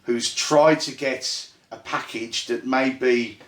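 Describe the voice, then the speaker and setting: A man from Ireland with a Birmingham accent, casually hosting a tabletop game night and explaining the rules in a halting, self-deprecating way with plenty of fillers. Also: medium pitch, fairly even intonation, a bit bright. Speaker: host and game runner. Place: indoor room at a gaming table. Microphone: phone. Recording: echoey room on phone mic.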